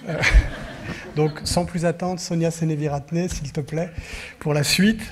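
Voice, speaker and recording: A man moderating a conference with an informal live introduction, audience sounds clearly behind him. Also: fairly high pitch, steady tone, unhurried. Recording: audience noise in a conference hall.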